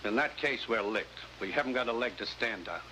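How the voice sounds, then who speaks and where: An actor playing a brisk, authoritative commissioner in a 1950s spy drama, clipped and urgent. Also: male voice, medium pitch, sharp tone, natural voice. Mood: urgent, commanding. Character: brisk, commanding male spy agency commissioner. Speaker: actor in a supporting role. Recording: faintly noisy vintage 1950s broadcast recording.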